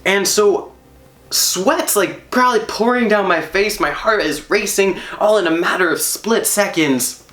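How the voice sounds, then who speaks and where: Animated man telling a story, roomy echo. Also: high voice, a little slow. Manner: animated, emphatic, laughs while telling. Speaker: storyteller on stage. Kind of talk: personal storytelling talk.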